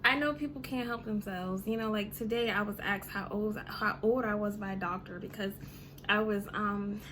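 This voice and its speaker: A woman's voice: mid-range voice, steady tone, moderate pace, fairly bright tone, a little hiss or hum, rough recording. A woman in her thirties ranting casually to her vlog audience, chatty and full of "you know" and "um". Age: 30s. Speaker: vlogger. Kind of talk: casual rant to camera.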